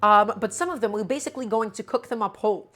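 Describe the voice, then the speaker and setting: A woman's voice: mid-range voice, fast talker, a bit bright, soft background music. A woman hosting a live cooking stream, slightly sick and a bit nasal, talking in an upbeat, chatty way. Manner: upbeat, chatty, greets chat by name.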